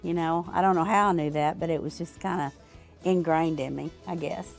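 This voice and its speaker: An older woman from Arkansas talks about her family store in an interview. Her voice sits fairly low. She reflects slowly and halts often, with many fillers. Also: fairly even intonation, creaky, soft background music.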